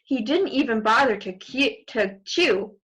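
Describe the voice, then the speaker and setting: A woman's voice: on the high side, expressive, measured pace, fairly bright tone, slightly rough, poor audio. A woman reading a children's story aloud expressively, with asides to young listeners. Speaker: storybook reader.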